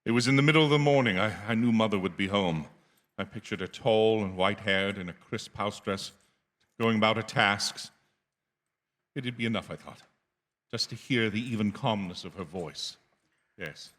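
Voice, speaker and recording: A man's voice: on the low side, fairly bright tone, close to an everyday voice. A man acting a haunted, desperate radio-drama traveler, echoing. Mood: brooding. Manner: halting, stammering when distressed. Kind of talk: radio drama acting, first-person narration and dialogue. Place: theatre stage. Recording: live theatre reverb, music underneath.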